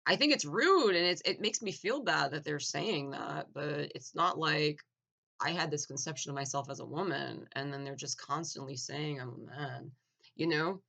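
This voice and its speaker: A man giving a blunt, argumentative opinion monologue, full of "like" fillers and restarts, clearing his throat now and then. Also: on the high side, normal speed, bright, forceful tone. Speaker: opinion vlogger.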